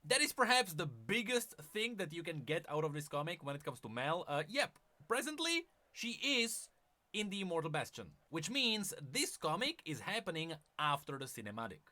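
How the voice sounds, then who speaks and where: A man's voice: fairly high pitch, very animated, normal speed, sharp tone. A man giving semi-scripted explainer commentary on video, emphatic and with wry asides. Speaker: video essayist on game lore.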